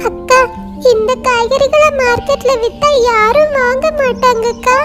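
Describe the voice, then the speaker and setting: A squeaky, very high little boy chick in a cartoon, whining and pleading like a small child, played by a woman. Soft music plays behind him. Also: fairly even intonation, measured pace. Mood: worried. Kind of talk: cartoon voice acting.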